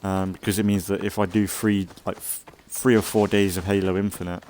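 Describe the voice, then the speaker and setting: Low-voiced man, rambling game commentary. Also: little pitch movement, fairly quick, a little mellow, a little hiss or hum. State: slightly congested from an oncoming cold. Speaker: gaming let's play host. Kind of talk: casual rambling gameplay commentary.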